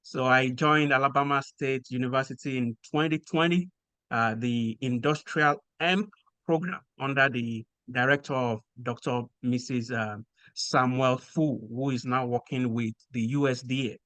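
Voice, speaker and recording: A man from Nigeria with a Nigerian accent, explaining things in a measured way with frequent pauses as a podcast guest. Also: medium pitch, fairly even intonation, pushed and bright, smooth, dry recording. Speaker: guest researcher. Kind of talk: podcast interview.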